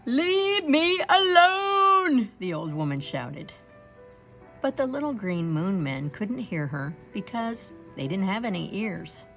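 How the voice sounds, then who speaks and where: A woman reading a picture book aloud to children in an expressive storytelling style. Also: lowish voice, unhurried, gravelly, faint music behind. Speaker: storybook read-aloud reader.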